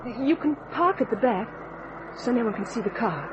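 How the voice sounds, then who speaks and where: An actress playing a frightened, pleading young woman in an old-time radio mystery, breathy and husky, speaking haltingly. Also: fairly high pitch, expressive, dull audio, background noise, close to an everyday voice. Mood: tense, hesitant.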